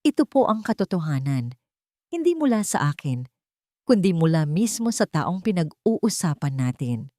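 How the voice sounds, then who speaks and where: A woman voicing a dignified, determined widow who speaks calmly and firmly in a story. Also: lowish voice, normal speed, no room sound, studio quality. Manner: clear, calm, firm. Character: dignified, determined young widow standing up for herself.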